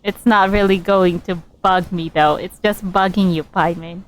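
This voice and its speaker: A woman streaming a game, casually commenting and reading dialogue choices in an amused, halting way. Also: lowish voice, fairly even intonation, poor audio.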